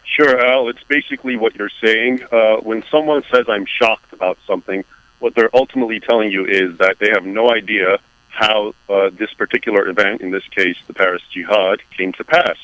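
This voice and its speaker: A man from the United States, an author speaking as a radio guest, explaining his view in a measured, emphatic way. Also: fairly low pitch, steady tone, no room sound, lo-fi sound. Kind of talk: radio interview.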